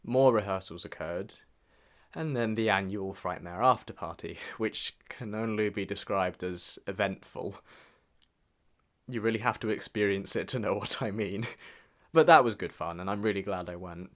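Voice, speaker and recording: A man's voice: lowish voice, moderate pace. A young man narrating a scripted recap of his year in a reflective, informal way. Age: young adult. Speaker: vlogger narrating a year in review. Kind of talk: scripted voice-over recap with casual asides.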